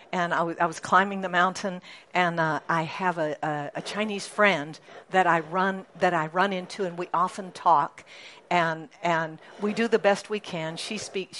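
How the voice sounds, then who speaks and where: A middle-aged woman pastor preaching a sermon in a lively, chatty way, often asking the congregation questions. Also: on the low side, a little hiss or hum.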